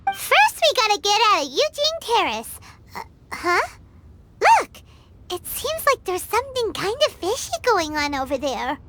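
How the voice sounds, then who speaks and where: A woman voicing a tiny, excitable female fairy companion who bubbles along and calls herself by name. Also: extremely high pitch, unhurried, exaggerated voice. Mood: curious. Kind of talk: game voice acting. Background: game music.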